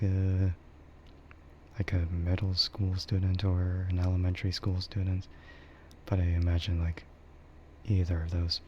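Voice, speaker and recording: Male streamer, deep and unhurried, for soft, meandering ASMR chat; dull lo-fi sound. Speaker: VTuber streamer. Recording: dull lo-fi microphone with faint noise.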